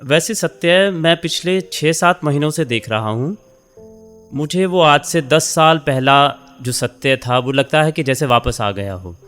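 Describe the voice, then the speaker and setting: Radio play role: warm, talkative senior editor in middle age, conversational and encouraging, faint music underneath. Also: male voice, fairly high pitch, measured pace. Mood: fond, admiring. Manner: encouraging, conversational, mixes in English phrases. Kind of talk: radio drama acting in Hindi with English phrases.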